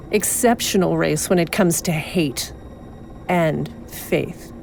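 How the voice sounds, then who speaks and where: A woman playing a scheming, coolly ruthless otherworldly leader in an audio drama, speaking in a measured, formal, persuasive way. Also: fairly low pitch, vocal fry, darkish tone, a little hiss or hum, rough recording.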